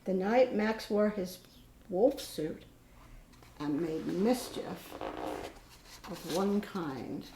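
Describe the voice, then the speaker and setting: An older woman, a grandmother storyteller, reads a children's picture book aloud in an expressive, dramatic way with drawn-out pauses and stressed words. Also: medium pitch. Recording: roomy echo with faint background noise.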